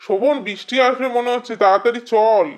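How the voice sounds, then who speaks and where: A man plays a young fisherman sidekick in an animated fable. His voice is pitched high. He is agreeable and a little wary. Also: fast talker. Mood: cautious, friendly. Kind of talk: animated story character acting.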